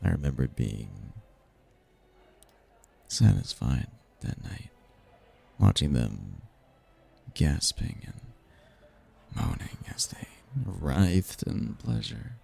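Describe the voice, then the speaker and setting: Guy doing a smooth talking demon prince, really low n husky, teasing and seductive, asmr roleplay. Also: animated, dark tone, full character voice. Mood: smug, sultry. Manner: teasing, smug, drawn-out flirtatious delivery. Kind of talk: audio roleplay, ASMR-style voice acting.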